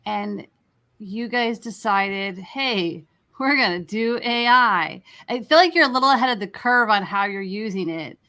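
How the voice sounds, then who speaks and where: A woman's voice: medium pitch, expressive, a little slow, pushed and bright. A woman hosting a casual interview, talking in a conversational way as she puts questions to guests. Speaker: interview host.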